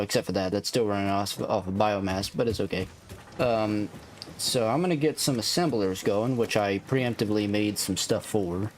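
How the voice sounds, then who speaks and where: Laid-back man streaming, noisy background. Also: lowish voice. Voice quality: soothing. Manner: laid-back, rambling, thinks aloud. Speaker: live streamer playing a factory-building game. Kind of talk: casual live-stream chat and gameplay commentary. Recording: clear background noise behind the voice.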